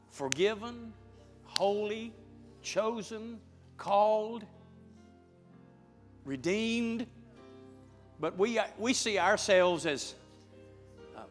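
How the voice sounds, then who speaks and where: A middle-aged male pastor preaching and praying fervently before his congregation, building to loud prayer. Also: sits high. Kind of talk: sermon and prayer. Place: large church sanctuary with congregation. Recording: church hall with music behind.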